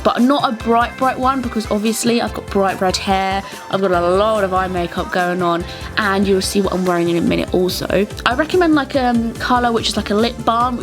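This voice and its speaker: A woman's voice: mid-range voice, brisk. A young British woman giving a casual, chatty, enthusiastic beauty tutorial voice-over. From England. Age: young adult. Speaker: beauty vlogger. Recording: music bed under the voice. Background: clear background music.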